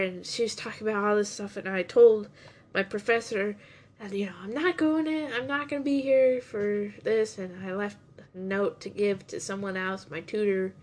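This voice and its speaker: A young woman hosts a laid-back podcast, her voice in an ordinary middle pitch. She has a runny nose and sniffles now and then. Her talk rambles, with lots of restarts and side turns. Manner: rambling, chatty, many restarts. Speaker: podcast host reviewing anime. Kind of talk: casual chat.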